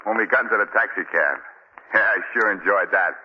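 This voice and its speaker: A man's voice: fairly low pitch, a little fast, husky. An actor playing a naive, rambling down-and-out man with folksy, ungrammatical speech in 1940s radio style. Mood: chatty, curious. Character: chatty, naive down-and-out man, turning frantic. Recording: dull, noisy 1940 radio recording.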